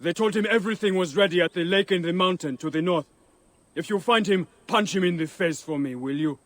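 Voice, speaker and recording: An actor playing a battered, blunt gladiator in a video game, speaking in a strained, emphatic way. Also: male voice, sits high, fairly quick, no room sound, close to an everyday voice. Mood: angry. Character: battered, angry male gladiator, loyal and blunt. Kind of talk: dramatic game acting.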